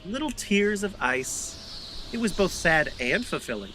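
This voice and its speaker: A man's voice: high voice, big pitch swings, measured pace, pushed and bright, soft background music, exaggerated voice, poor audio. A man playing a sulky, sarcastic alien sidekick in a comic audio drama, petulant and dry. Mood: deadpan.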